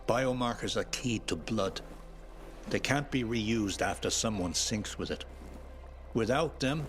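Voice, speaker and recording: A man's voice: on the low side, fairly bright tone. A man playing a bitter, sardonic older gatekeeper who grumbles, in a video game cutscene.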